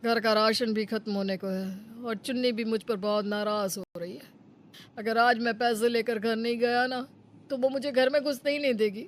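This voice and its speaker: A woman's voice: a little high, steady tone, normal speed, a little hiss or hum, close to an everyday voice. A woman voicing a cunning, lazy, greedy village man in a Hindi moral-story cartoon, coaxing and wheedling. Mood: wheedling, pitiful.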